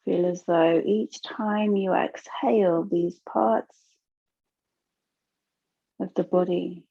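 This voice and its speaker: A woman leads a guided relaxation as a yoga nidra teacher. She speaks very slowly and gently, leaving long pauses between soothing instructions. Her voice has a creaky, gravelly edge, and the recording is dry and a little dull. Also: on the low side, fairly even intonation, dry recording.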